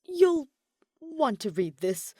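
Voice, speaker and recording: A woman's voice: sits high, big pitch swings, takes it slowly, warm tone, dry recording, clean studio sound, voice pushed up, exaggerated voice. A woman voicing an earnest, irritable young nobleman in an audiobook story, hesitant and stumbling when flustered.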